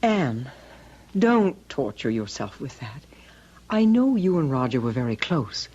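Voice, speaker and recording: An actress playing a brisk, fun-loving, coaxing woman friend in an old-time radio drama, animated and persuasive. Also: extremely low pitch, husky, exaggerated voice. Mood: soothing. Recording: muffled vintage radio recording, music.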